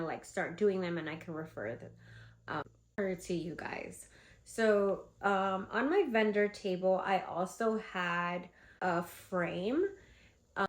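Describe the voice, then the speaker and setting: Female vlogger with a head cold, congested and chatty, middle-pitched voice. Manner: chatty, animated, lots of fillers. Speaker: vlogger and candle seller. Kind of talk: casual advice vlog.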